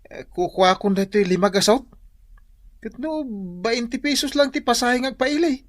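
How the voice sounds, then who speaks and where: A man's voice: very high-pitched, expressive, moderate pace, no echo, clean studio sound. Swooping Ilocano village guy. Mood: haggling. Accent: Ilocano Filipino. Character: gruff, tipsy male village drinker. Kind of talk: Ilocano radio drama acting.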